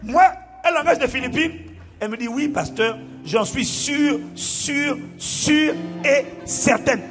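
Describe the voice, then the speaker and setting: High-voiced preacher, animated, over music. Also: male voice, takes it slowly. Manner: animated, exclaiming, bursts into shouting and laughter. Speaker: pastor. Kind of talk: sermon reading out testimonies. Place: large church auditorium with a crowd. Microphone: handheld mic.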